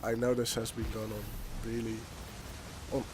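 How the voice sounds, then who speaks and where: A man's voice: mid-range voice, monotone, moderate pace. Young man, Dutch accent, non-native English, rambling hesitant commentary, game gunfire and noise behind. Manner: rambling, hesitant, many restarts. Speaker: gaming YouTuber. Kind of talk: gameplay commentary voice-over.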